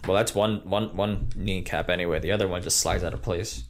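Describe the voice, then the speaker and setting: Young man, deep low voice, laid-back wry podcast chat, headset mic. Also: steady tone, brisk, a little hiss or hum. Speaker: podcast co-host. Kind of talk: casual chat.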